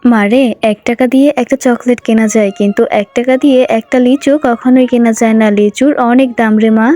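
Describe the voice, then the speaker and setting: Mellow, slightly high cartoon mother voiced by a woman. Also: fairly even intonation, fairly quick, soft background music, close to an everyday voice. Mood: weary explaining. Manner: explanatory, exasperated but affectionate. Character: weary, poor, gently scolding mother. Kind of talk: children's cartoon dubbing.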